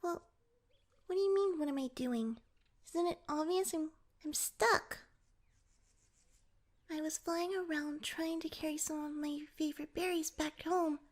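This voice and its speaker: A woman's voice: high voice, a little slow, long pauses. A woman voicing a small, clumsy pixie in a fantasy audio roleplay, proud, easily flustered and wary of humans, with a stammering, indignant manner.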